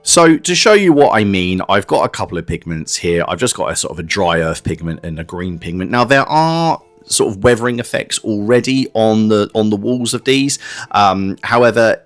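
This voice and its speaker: A man with a low-pitched voice presents a hobby review. He speaks informally and explains things step by step, addressing viewers directly as a channel host would. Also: lively intonation, moderate pace, faint music behind. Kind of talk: casual hands-on review narration.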